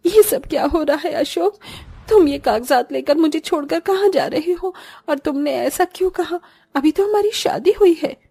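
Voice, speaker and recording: A woman's voice: high-pitched, normal speed, vocal fry, dark tone, dry recording, soft background music. A woman voicing a sorrowful young bride in a cartoon story, earnest, plaintive and pleading. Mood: distressed.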